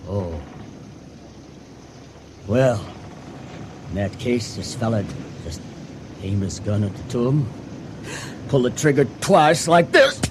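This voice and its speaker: Cocky, menacing young crook, noisy radio play. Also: male voice, medium pitch, sing-song, takes it slowly, pauses often. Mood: cocky menace. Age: young adult. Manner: taunting, folksy, slangy. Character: menacing, sneering young crook with folksy cockiness. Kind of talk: old-time radio drama acting. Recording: noisy old radio drama recording.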